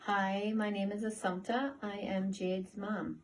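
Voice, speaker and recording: A woman's voice: mid-range voice, level tone, unhurried, a little hiss or hum. A middle-aged woman with an Irish accent casually answering questions in a family interview. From Ireland. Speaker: interviewee, mother.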